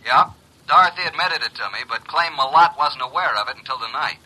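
Wry detective reporting in, clipped, deadpan, very bright raspy voice, old radio show. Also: male voice, medium pitch, brisk, full character voice, poor audio. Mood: matter-of-fact, wry. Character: wry, matter-of-fact male investigator reporting to boss. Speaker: radio drama actor. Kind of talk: classic radio detective drama acting.

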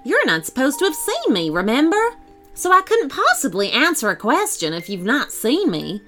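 Brisk, bossy tooth fairy in a storybook, high and bouncy, snappy and fussy, as a woman puts her on. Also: huge pitch swings, bright, forceful tone, faint music behind, heavily acted.

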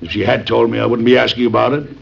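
Blustering, gravelly exasperated father. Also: mid-range voice, steady tone, fast. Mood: indignant. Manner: sarcastic, indignant questioning. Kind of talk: old-time radio drama acting. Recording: dull, noisy old radio recording.

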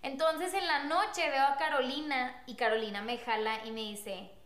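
Young woman, high-pitched, lively storyteller, roomy echo. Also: moderate pace. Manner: animated, laughs often, acts out quotes. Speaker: guest telling their love story. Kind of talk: casual storytelling.

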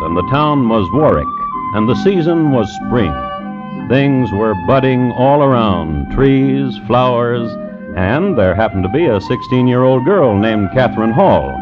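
A man hosting and narrating a scripted true crime radio show in a dry, droll, deliberate manner. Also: medium pitch. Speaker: host and narrator. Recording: muffled vintage radio recording. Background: music.

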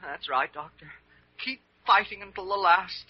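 An actor playing a fretful, gloomy hypochondriac patient in a vintage radio drama, moaning and full of self-pity. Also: male voice, high-pitched, normal speed, husky, dry recording. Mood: mournful, resigned. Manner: moaning, self-pitying, melodramatic. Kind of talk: 1940s-50s radio drama acting. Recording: dull vintage radio recording.

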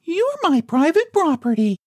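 Man doing a little girl, shrill and insistent, pitched way up, storybook reading. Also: sing-song, measured pace, no room sound, studio quality, full character voice. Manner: shrill and scolding. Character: frightened, then bossy little girl.

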